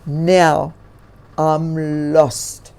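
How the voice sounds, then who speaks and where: Storybook bear: woman doing a lost male grizzly, lower register, very slow and weighty. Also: level tone, creaky, mellow, clean tone, full character voice. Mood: dejected, weary. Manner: slow, heavy, drawn-out delivery.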